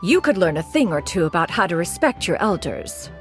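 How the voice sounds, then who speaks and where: A woman's voice: fairly low pitch, big pitch swings, brisk, faint music behind. A woman playing a proud, aloof, eccentric elder immortal in a video game, speaking imperiously in archaic, formal phrasing. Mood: haughty, piqued. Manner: imperious, archaic formal diction, calls herself "one".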